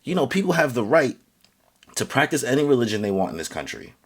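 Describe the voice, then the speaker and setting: A man giving an earnest, halting opinion rant to camera, with many fillers and restarts, growing louder and higher as he gets heated. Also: sing-song, breathy edge. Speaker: vlogger.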